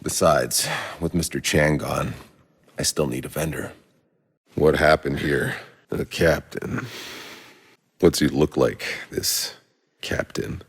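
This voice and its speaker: Very deep, gravelly cynical mercenary. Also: male voice, fairly even intonation, slow pace, long pauses, big-room sound, heavily acted. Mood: weary, sardonic, then gruff, casual. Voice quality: gravelly, husky. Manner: sardonic, gruff, laconic. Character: weary, cynical, foul-mouthed male sci-fi soldier mercenary. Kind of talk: video game voice acting.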